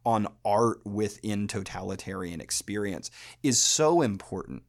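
An American man hosting a podcast, talking through a subject in a thoughtful, measured way with pauses. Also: lowish voice, expressive, creaky. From United States. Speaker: podcast host. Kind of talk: explanatory podcast talk.

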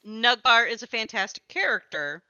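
A woman's voice: on the high side, animated, moderate pace, bright, forceful tone, smooth, no echo, lo-fi sound. A woman hosting a casual, chatty podcast about tabletop gaming, with a playful way of talking. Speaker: podcast host and interviewer.

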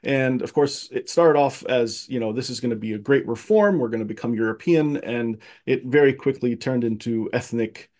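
Guest, male, from Egypt; earnest and reflective, halting with fillers, mid pitch, video call sound. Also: a little mellow. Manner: earnest, thoughtful, many restarts and fillers. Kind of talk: casual conversation, personal history.

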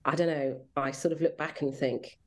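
An English woman with a low-pitched voice talks casually as an interview guest. She is chatty and self-deprecating, looking back in a reflective, conversational way. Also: steady tone, normal speed, a little mellow. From rural Devon, England. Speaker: interview guest, children's drama commissioning editor.

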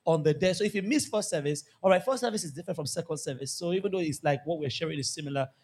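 A Nigerian man preaching a lively church sermon, repeating key phrases and joking with the congregation. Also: on the high side, rapid-fire, husky. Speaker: pastor.

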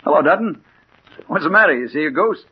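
A man's voice: fairly high pitch, expressive, a little fast, close to an everyday voice. Actor as an intense, obsessed man, old radio. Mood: insistent. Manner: intense, urgent, dramatic. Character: obsessed, agitated man named Storm hunting a mysterious woman. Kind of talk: 1940s radio mystery acting, first-person narration and dialogue. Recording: dull, noisy 1940s radio recording.

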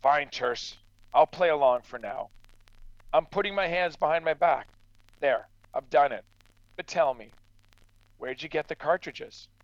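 An actor playing a stern, practical farmer in a radio drama, blunt and admonishing but fair-minded. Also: male voice, on the high side, a little slow, pauses often, no room sound, highs cut off, close to an everyday voice. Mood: wary, protesting. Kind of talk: true crime radio drama acting.

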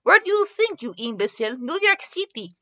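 A haughty alpha French bulldog from a comic storybook, acted out by a woman. She has a French accent and a bright, high, forceful voice, and speaks with bossy contempt. The audio is slightly dull. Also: big pitch swings, brisk, heavily acted. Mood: impatient contempt. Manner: imperious, insulting. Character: sneering, bossy female French bulldog, self-declared alpha.

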